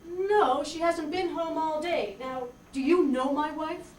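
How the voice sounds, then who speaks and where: A woman's voice: sits high, measured pace, darkish tone. A woman playing an indignant, sceptical married male lawyer in an amateur radio detective play. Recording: distant roomy recording, faint noise.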